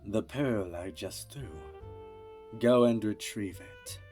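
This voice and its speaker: A man playing a composed, formal magic mentor in a fan dub, speaking in a measured way. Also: fairly low pitch, darkish tone, faint music behind. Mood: composed, stern.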